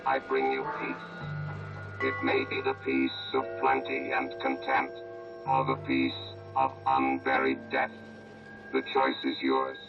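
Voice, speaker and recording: Brief: an unhurried, gravelly machine ruler, distant and muffled over loud film music. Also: male voice, mid-range voice, exaggerated voice. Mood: menacing. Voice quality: slow, flat, commanding. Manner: menacing proclamations. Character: cold all-powerful male supercomputer ruler. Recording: dull old film audio under loud music.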